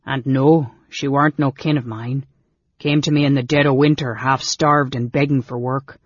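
A man voicing a gruff, weary innkeeper in a fantasy audiobook, with blunt, folksy rustic speech. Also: mid-range voice, steady tone, moderate pace, no echo, dull audio, lightly acted. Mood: sad, blunt.

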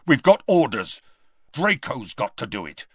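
A man's voice: fairly low pitch, huge pitch swings, normal speed, breathy edge, dry recording, studio quality, heavily acted. A man voicing a brutal, bossy male henchman who speaks sharply and curtly. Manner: sharp, commanding.

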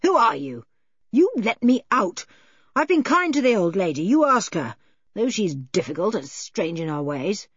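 A woman voicing a gruff, angry, defensive working man in an audiobook, with emphatic rustic turns of phrase. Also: mid-range voice, very animated, normal speed, no room sound, muffled recording. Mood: frantic. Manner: rural turns of phrase, repeats tags for emphasis. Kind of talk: audiobook character voice.

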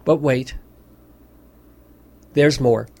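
A man's voice: mid-range voice, fairly even intonation, drawn-out words, creaky, dark tone. Wry, amused male radio host. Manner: wry, amused, mock-serious. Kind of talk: casual radio commentary. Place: radio studio.

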